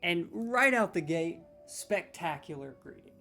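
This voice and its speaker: Excitable rambling man hosting gaming comedy. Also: sits high, a little slow, faint music behind. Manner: excitable, rambling, sarcastic, swears freely. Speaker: gaming video host.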